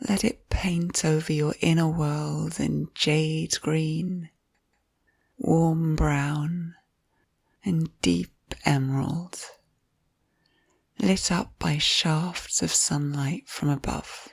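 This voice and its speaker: A woman leads a guided visualisation in a low, soft voice with a creaky edge. She speaks very slowly and soothingly, giving gentle instructions and letting phrase endings turn breathy. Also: steady tone, no room sound. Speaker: guided meditation narrator. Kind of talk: guided visualisation, scripted narration.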